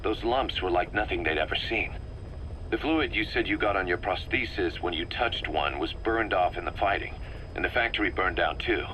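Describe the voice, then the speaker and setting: A man playing an analytical, wary military second-in-command in a video game, explaining things in a measured, methodical way. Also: low voice, sharp tone, a little hiss or hum, rough recording. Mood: grave, concerned. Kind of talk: scripted video game cutscene dialogue.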